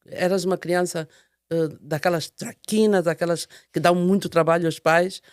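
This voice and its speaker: A woman's voice: on the low side, fairly even intonation, normal speed, dry recording, studio quality. A woman hosting an interview, chatty and curious, asking many short questions. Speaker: talk show host. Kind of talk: casual interview conversation.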